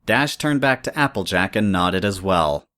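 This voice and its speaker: A woman's voice: rumbling low, lively intonation, normal speed, fairly bright tone. A woman reading scripted third-person story narration as the narrator.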